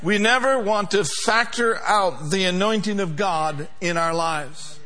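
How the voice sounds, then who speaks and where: A man's voice: sits high, a little slow, bright, forceful tone. A male pastor preaching a sermon to a congregation, emphatic, with call-and-response.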